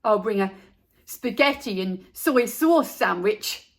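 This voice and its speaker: A woman's voice: medium pitch, expressive, takes it slowly. A woman voicing an eager, impatient young schoolboy who speaks emphatically, as a storybook character. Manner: blurting, emphatic.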